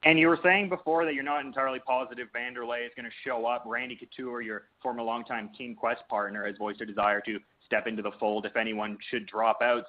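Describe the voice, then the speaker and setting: Male reporter, quick pace, mid-range voice, prepared questions, narrow telephone sound. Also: mid-range voice. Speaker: media reporter asking questions. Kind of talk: prepared conference call questions. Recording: phone-like call line.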